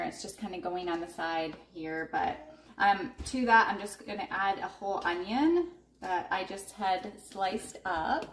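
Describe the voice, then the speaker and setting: A woman casually talking through her meal prep in a home kitchen, relaxed and chatty with lots of ums. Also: mid-range voice, background noise. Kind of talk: casual cooking vlog narration. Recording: echoey kitchen with clattering dishes. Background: clinking cutlery, dishes and pans.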